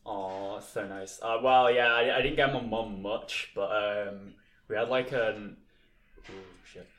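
A man's voice: lowish voice, steady tone, takes it slowly, a bit bright. A young man co-hosting a casual podcast, rambling with many fillers and restarts. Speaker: podcast co-host. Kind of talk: casual chat. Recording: echoey room.

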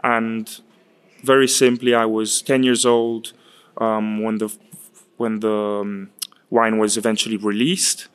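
A man in his twenties, raised in Chile, answering interview questions in a halting way, often repeating words. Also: on the low side, level tone, clear, resonant tone. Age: 20s. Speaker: guest, winemaker's son. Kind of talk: casual interview.

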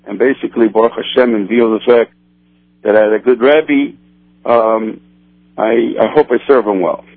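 A man from Brazil whose first language is Portuguese, a kosher supervisor answering interview questions in a halting way with many restarts. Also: lowish voice, steady tone, dry recording. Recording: tinny phone line, dry.

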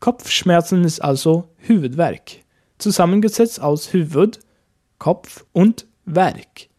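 A man teaching a language lesson, explaining each point slowly step by step. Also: on the high side, animated, warm tone. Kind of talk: lesson narration.

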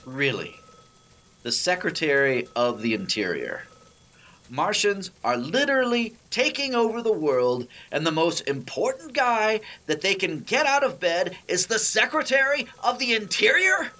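Female radio anchor, lively pitch, bright forceful tone, sardonic, incredulous, formal, 1930s comedy broadcast. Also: unhurried. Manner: formal announcing that slips into sarcasm.

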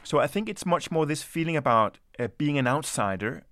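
A man's voice: on the high side, lively intonation, brisk, fairly bright tone, dry recording. A man from Denmark, an anthropologist, answering interview questions in a reflective, hesitant way with many restarts.

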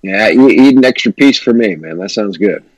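A man's voice: on the low side, moderate pace, dry recording, rough recording. A man hosting a morning talk radio show, running through the lineup in an upbeat announcer way. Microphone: studio microphone.

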